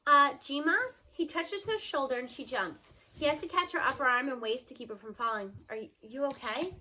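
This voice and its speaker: Bright, high-voiced woman reading aloud. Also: animated, rough recording. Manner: acts out dialogue with raised voice and slows for emphasis. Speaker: reader reading a novel aloud. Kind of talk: home read-aloud of a children's novel. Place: home with children nearby.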